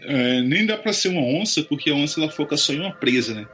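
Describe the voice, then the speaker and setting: A man's voice: a little high, expressive, fast, fairly bright tone. A man with a Brazilian Portuguese accent, chatting casually and speculating aloud in slangy speech. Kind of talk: casual chat. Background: background music.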